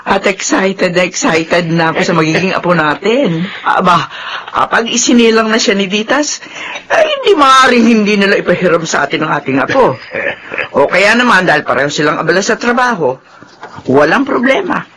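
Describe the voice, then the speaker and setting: Chatty older mother-in-law, hesitant fillers, animated voice, radio play. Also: medium pitch, normal speed, husky. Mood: chatty, hopeful. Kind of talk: radio drama acting.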